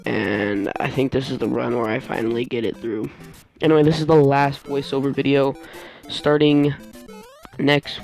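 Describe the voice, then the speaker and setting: A man giving casual let's play commentary as host, rambling and halting as he often loses his train of thought. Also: medium pitch, little pitch movement, vocal fry, darkish tone, soft background music, low-quality recording. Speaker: gaming video host.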